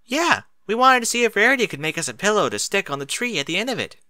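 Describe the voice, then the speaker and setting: Young girl filly, storybook, impatient and excitable, high and bright male narrator acting it. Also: quick pace, no room sound, clean studio sound, exaggerated voice. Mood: exasperated.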